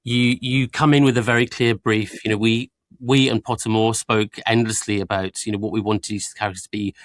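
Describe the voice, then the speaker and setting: A man's voice: lowish voice, level tone, fairly quick. A man answering panel interview questions as a production guest, articulate and explanatory, often dropping in small filler phrases. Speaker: audiobook production team member interviewed as a guest.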